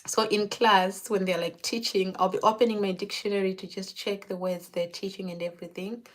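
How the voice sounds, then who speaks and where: A woman's voice: mid-range voice, flat delivery, brisk, low-quality recording. Chatty woman styling hair. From Zimbabwe. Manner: chatty, often repeats words as she restarts. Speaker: hairstylist. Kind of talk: casual chat while doing hair.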